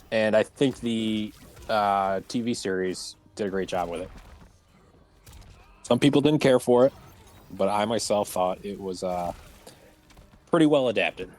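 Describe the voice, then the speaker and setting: A man's voice: on the low side, darkish tone. A man hosting a casual round table chat about entertainment, speaking in an informal, conversational way.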